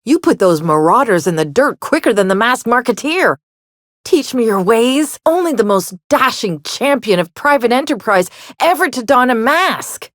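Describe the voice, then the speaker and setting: A snarky young woman outlaw wannabe from a video game, super animated, swingy pitch, dry close recording. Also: normal speed, no echo. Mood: excited, awed. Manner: wry, flippant, theatrical. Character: sardonic, serial-obsessed young woman, would-be frontier outlaw. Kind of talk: video game character dialogue.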